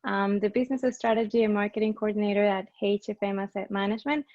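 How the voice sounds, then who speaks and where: A woman's voice: mid-range voice, little pitch movement, fast, a little mellow, dry recording. A woman from Colombia with a Colombian Spanish accent, sharing a personal story openly on a panel over a video call. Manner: friendly, open, with fillers. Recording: dry, dull lo-fi video call.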